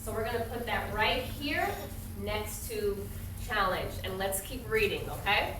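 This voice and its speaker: A woman teacher doing an interactive read-aloud for a young class, instructive and questioning, with expressive storybook reading. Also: medium pitch, measured pace. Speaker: primary school teacher. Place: classroom with pupils. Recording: distant echoey classroom, some noise.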